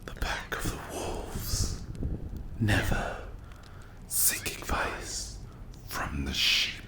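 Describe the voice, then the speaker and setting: A performer voicing a menacing, sadistic demon in a breathy, gravelly whisper, taunting theatrically in a dark fantasy ASMR roleplay. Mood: threatening, contemptuous. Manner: slow, drawn-out, taunting, theatrical. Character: menacing sadistic demon guarding its unholy lair.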